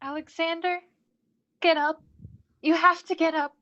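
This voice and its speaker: A woman's voice: extremely high pitch, flat delivery, measured pace, bright, forceful tone, heavily acted. A woman playing an anxious, lovestruck young goddess, flustered and pleading, in a staged play reading. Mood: upset, apologetic. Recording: dull, lo-fi microphone sound.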